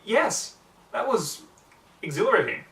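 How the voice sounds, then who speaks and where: Eager, refined mare played by a man, pitched up, ladylike storybook pupil, roomy distant recording. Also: big pitch swings, measured pace, pushed and bright, exaggerated voice. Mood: thrilled. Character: refined, eager female unicorn pony, excitable pupil. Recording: roomy, distant mic, faint noise.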